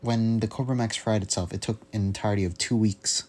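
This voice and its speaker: A young man giving a casual, unscripted audio log, rambling haltingly as he searches for words. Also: on the low side, steady tone, a little mellow. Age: young adult. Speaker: hobbyist vlogger.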